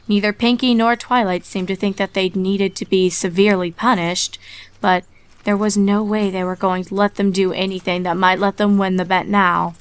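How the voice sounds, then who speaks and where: A woman reading a dark fan story aloud in a measured way, dropping to a hush on eerie lines. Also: mid-range voice, clear, resonant tone. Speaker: reader.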